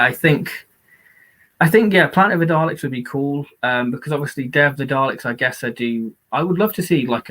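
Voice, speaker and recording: Rambling, hoarse man chatting on a live-stream. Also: medium pitch, pushed and bright. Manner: rambling and enthusiastic, many fillers. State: losing his voice. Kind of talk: casual live-stream chat.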